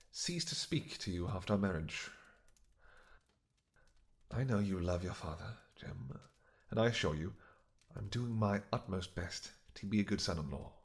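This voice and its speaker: A man playing a weary, gentle vampire king who speaks softly and haltingly to his beloved in a romantic fantasy audio roleplay. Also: sits low, vocal fry, full character voice. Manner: soft, halting, gentle, intimate. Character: weary, tender vampire king, doting on his pregnant wife.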